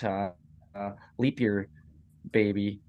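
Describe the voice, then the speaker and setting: A man's voice: lowish voice, level tone, slow, dull audio, slight background noise. A man co-hosting a casual podcast chat, easygoing and hesitant, often restarting his words. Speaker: podcast co-host.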